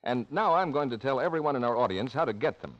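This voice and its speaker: Animated male vintage radio announcer. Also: mid-range voice, fast talker, lo-fi sound. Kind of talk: scripted 1940s radio announcing and advertising.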